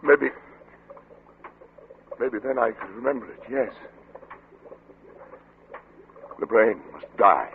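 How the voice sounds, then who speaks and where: An actor playing a tormented, fearful middle-aged scientist father in 1940s-style radio drama, with intense, halting, melodramatic delivery. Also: medium pitch, vocal fry. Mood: shaken. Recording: old muffled radio recording with heavy noise.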